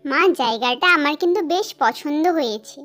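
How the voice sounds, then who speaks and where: A woman's voice: sits high, lively intonation, fairly quick, bright, forceful tone, soft background music. A woman voicing a brave, curious young schoolgirl in a children's cartoon story, with an eager, childlike delivery.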